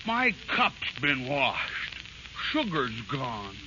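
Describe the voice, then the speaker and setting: An actor playing a simple, astonished little dwarf with rustic phrasing, in 1930s radio style. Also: male voice, medium pitch, huge pitch swings, very slow, pushed and bright, exaggerated voice. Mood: wondering. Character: wide-eyed, simple, folksy little dwarf. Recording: dull vintage radio with faint hiss.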